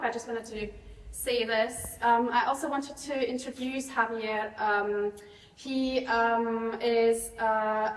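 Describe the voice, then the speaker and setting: A woman hosting a university lecture who introduces the speaker haltingly, with many ums and uhs. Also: fairly high pitch, a little hiss or hum. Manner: hesitant, filler-heavy. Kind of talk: formal introduction with many fillers. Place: lecture hall. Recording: echoey hall, mic from far off.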